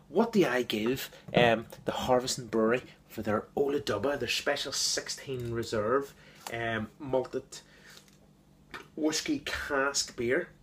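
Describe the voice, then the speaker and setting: Man, from the UK, casual beer review, enthusiastic, repetitive, filler-heavy, average pitch. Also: a little hiss or hum. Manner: enthusiastic, repeats words, many fillers.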